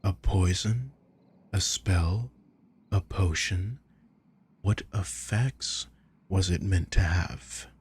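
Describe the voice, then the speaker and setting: Sadistic male villain acted by a man, ASMR roleplay. Deep voice, unhurried delivery, cool menace under mock care. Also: heavily acted. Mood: coaxing, stern. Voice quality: breathy, husky. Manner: slow, deliberate, taunting. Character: sadistic male villain, coolly menacing, mockingly caring captor. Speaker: audio roleplay voice actor.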